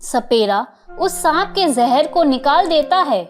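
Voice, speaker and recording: A woman's voice: sits high, expressive, takes it slowly, soft background music. A woman narrator reading a scripted children's moral story.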